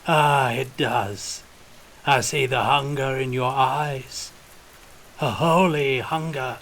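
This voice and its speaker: A man's voice: medium pitch, steady tone, slow pace, a bit bright, a little hiss or hum, voice pushed up. A man voicing a calculating, fanatical old regiment officer, wheezy and intoning like a preacher, in an audiobook. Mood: reverent, hungry. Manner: intoning, preacherly, rustic archaic turns of speech.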